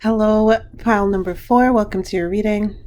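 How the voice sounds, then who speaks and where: A woman giving a pick-a-card tarot reading in a chatty, meandering way, full of fillers and restarts, her voice slightly hoarse. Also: mid-range voice, fairly even intonation, moderate pace, darkish tone.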